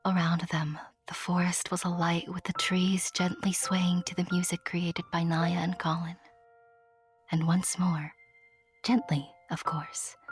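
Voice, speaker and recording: A woman narrating a scripted fairy tale as a storyteller, gently, with playful asides. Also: on the low side.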